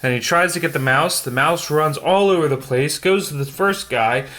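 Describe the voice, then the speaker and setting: Higher-voiced man reading a bedtime story. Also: normal speed, a bit bright. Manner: stumbles over words and explains the pictures as he goes. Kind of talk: reading a children's picture book aloud with casual asides.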